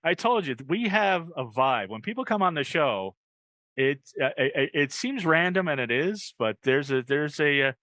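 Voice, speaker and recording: A man's voice: on the high side, sing-song, normal speed, sharp tone, dry recording. An American man hosting a podcast with casual, joking banter that tends to ramble. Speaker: podcast host.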